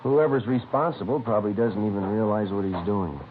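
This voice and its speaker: An actor playing a calm, patient police sergeant in a vintage radio crime drama, matter-of-fact and understated. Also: male voice, lowish voice, fairly quick, lightly acted. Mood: casual, probing. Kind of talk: understated 1950s radio acting. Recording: muffled, noisy vintage radio recording.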